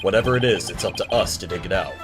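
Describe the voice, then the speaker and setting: A man playing a calm, wry defense attorney and mentor in a game fan dub, speaking in a measured way. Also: deep voice, close to an everyday voice. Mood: calm, reassuring. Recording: music under the voice. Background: music.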